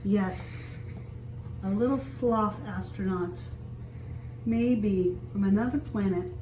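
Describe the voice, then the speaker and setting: A woman reading a picture book aloud as a story time teacher, expressively, pausing to explain and ask young children simple questions. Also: medium pitch, mellow. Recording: echoey room, distant mic, faint hiss.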